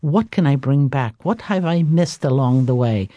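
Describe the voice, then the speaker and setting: A woman's voice: extremely low pitch, lively intonation, moderate pace, mellow, dry recording, highs cut off. An older woman chef from Istria with an Italian accent, telling her story in a radio interview, with many fillers. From Istria, Italy/Croatia. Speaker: chef, guest.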